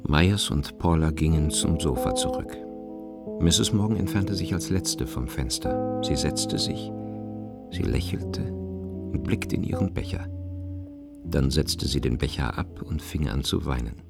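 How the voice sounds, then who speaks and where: Super deep crackly guy narrating a radio drama, literary style, guitar playing in the background. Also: unhurried, gravelly.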